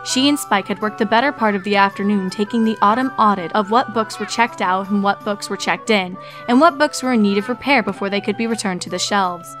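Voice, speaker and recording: Woman narrating a story, medium pitch. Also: a little fast, few pauses, over music. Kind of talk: expressive scripted story narration.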